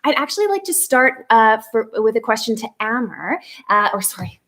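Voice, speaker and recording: A woman's voice: a little high, very animated, moderate pace, a bit bright. Giggly chatty young woman hosting. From Canada. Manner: giggly, chatty, many fillers. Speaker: host, media lead. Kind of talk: casual live stream chat.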